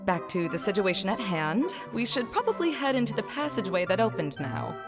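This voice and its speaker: A woman playing a calm, matter-of-fact adventurer in a video game, with a dry, composed manner. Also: medium pitch, normal speed, close to an everyday voice. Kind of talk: video game cutscene acting. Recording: clear music behind the voice.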